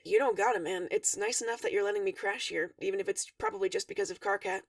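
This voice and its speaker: A woman's voice: mid-range voice, quick pace, no echo, studio quality, far from the mic, voice pushed down, natural voice. A woman voicing a cool, slangy, nonchalant young male troll in a story read aloud. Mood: easygoing.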